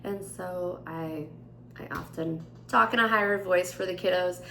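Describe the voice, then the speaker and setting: A young woman with a raspy, tired voice, chatting casually to her viewers and then reading her journal entry aloud. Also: on the low side, a little slow, echoey room, faint music behind. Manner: chatty with frequent fillers, gentle and candid. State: tired, voice strained. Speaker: vlogger. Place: indoors at home, at a table. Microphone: camera on tripod.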